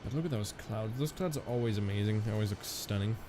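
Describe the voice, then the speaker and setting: A man's voice: lowish voice, fast talker, noisy background. A man live-streaming a flight simulator, chatting casually with viewers and rambling through fillers and restarts.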